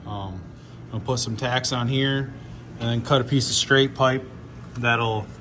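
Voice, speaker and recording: A man's voice: medium pitch, fairly bright tone. Casual man talking over workshop noise. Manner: plainspoken, informal, thinking aloud. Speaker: car builder hosting a fabrication video. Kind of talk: casual hands-on shop walkthrough. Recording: noisy handheld shop video, ambient noise.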